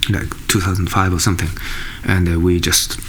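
Halting deep-voiced man. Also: level tone. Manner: halting, searching for words, many fillers and restarts. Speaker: musician. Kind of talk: interview answers. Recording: faint background noise.

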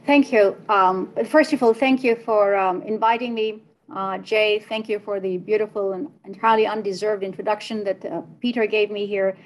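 A woman who grew up in Tunisia, a philosophy department chair, answering interview questions in a measured, thoughtful way with frequent ums. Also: medium pitch, fairly even intonation, poor audio.

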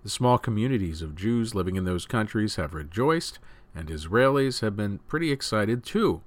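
A man's voice: fairly low pitch, animated, normal speed, no room sound. A man reading scripted news stories as the host of a news program.

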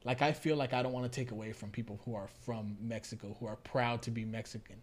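A man's voice: mid-range voice, fairly even intonation, fast. Guy on a podcast talking it through, mid voice, restarts a lot, laughs now and then. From United States. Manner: thoughtful, explains at length with restarts and laughs. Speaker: podcast co-host. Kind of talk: casual conversational chat.

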